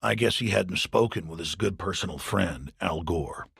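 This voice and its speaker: A man with a gravelly voice reading scripted, accusatory documentary narration in a forceful way. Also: low-pitched, moderate pace. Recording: voice-over with clear background noise.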